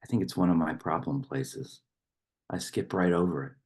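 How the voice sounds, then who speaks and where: Really deep husky guy doing gentle poem reading and guided meditation, lots of pauses. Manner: gentle, unhurried instruction with long pauses. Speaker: guided meditation leader and poetry reader.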